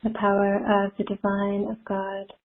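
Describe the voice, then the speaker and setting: A woman leading a spiritual guided meditation in a soothing, gentle manner with long pauses, recorded from a live call. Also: medium pitch, monotone. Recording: muffled phone-like live call audio.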